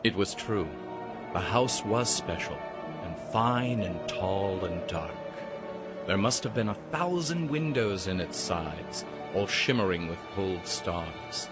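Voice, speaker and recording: A man's voice: deep voice, lively intonation, takes it slowly. A man playing an ominous storyteller, narrating a spooky Halloween tale theatrically and savoring each phrase. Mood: awed, relishing. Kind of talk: dramatic scripted storybook narration. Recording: loud music under the voice.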